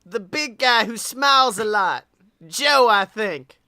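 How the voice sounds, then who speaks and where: Man putting on a plaintive, defensive family member, bright voice. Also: very high voice, expressive, takes it slowly, dry recording, studio quality, exaggerated voice. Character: wrestler's family, child and mother, explaining themselves defensively.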